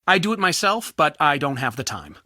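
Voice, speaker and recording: A man playing a fussy, excitable, scholarly culture center organizer in a video game, with polite but theatrical, easily flustered delivery. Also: on the high side, fairly quick, dry recording, studio quality, full character voice. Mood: chatty, polite. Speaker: game character, festival organizer. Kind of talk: video game character dialogue.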